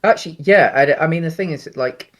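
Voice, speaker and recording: A man's voice: fairly high pitch, fairly quick. Man casually explaining his take on films. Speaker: podcast co-host. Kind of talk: casual film discussion.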